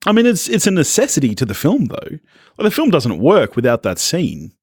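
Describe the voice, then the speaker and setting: A man's voice: on the high side, wildly swooping pitch, a little fast, a little mellow, slightly rough, dry recording, clean studio sound. A man co-hosting a film podcast, chatting casually and arguing back in a teasing, contrarian way. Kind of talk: casual chat, bantering debate.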